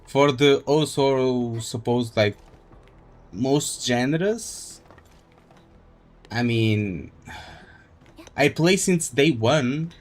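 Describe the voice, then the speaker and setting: A man live streaming a game, chatting casually in a halting, rambling way as he thinks aloud. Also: mid-range voice. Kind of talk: casual gaming stream chat.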